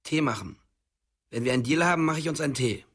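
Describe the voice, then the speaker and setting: A man's voice: on the high side, quick pace, a bit bright. A male actor in a radio play, playing a laid-back, slightly sheepish young sidekick who speaks in a laconic, placating way.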